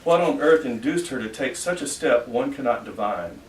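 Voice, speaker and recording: A man's voice: mid-range voice, expressive, moderate pace. Man reading dramatically in an echoey room. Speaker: reader at a library event. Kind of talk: dramatic reading of an old formal letter. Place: library reading room at a public event. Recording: echoey room, distant mic, faint noise.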